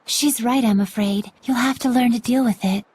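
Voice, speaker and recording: An actress playing a gentle, prim, devout female spirit in a video game, with proper, formal diction. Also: on the high side, normal speed, breathy edge. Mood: prim advice. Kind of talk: English video game dub acting.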